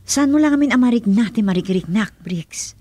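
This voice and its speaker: A woman's voice: on the high side, animated, brisk, mellow, no echo. A woman with a Filipino accent playing a hurt, indignant young woman in a radio drama. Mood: hurt, tearful.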